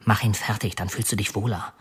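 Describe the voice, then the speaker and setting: An actor playing a brooding male killer who confesses matter-of-factly and haltingly, as in a radio drama. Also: on the low side, steady tone, fairly bright tone, breathy edge. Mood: grim, confessing. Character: confessing male killer, bitter, brooding, oddly soft-hearted. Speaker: actor narrating as a murderer.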